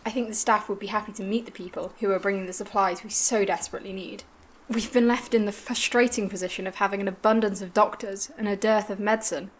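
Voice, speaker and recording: An actress as a formal colony administrator with a mid-pitched voice. She is gracious yet harried, choosing courteous words, in science-fiction audio drama style. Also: fairly even intonation, fast, lightly acted.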